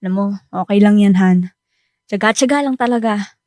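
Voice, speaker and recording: A woman's voice: mid-range voice, normal speed, darkish tone, no room sound, clean studio sound, lightly acted. A woman playing a patient young wife and devoted new mother in a Filipino radio drama. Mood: affectionate, teasing.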